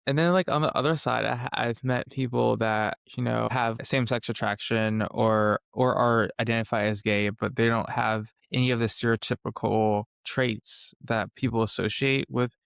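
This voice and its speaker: A young man speaks at a middle pitch with a smooth, clean, soft voice that some would call a therapist voice. He talks in a thoughtful, measured way with frequent fillers. The sound is dry and close. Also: steady tone, no room sound. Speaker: podcast co-host. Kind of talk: casual discussion.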